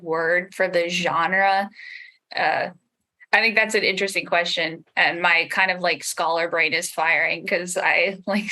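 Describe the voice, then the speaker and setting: A woman's voice: medium pitch, sharp tone. A woman podcast creator and scholar speaking casually and analytically in a panel discussion, working through her ideas. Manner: thoughtful, analytical, hedging with frequent "like".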